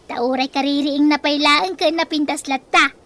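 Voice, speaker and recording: A woman's voice: high-pitched, steady tone, moderate pace, sharp tone. An actress playing a brash, vain housewife and mother who is always borrowing, in a sassy radio comedy-drama style. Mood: wheedling flattery.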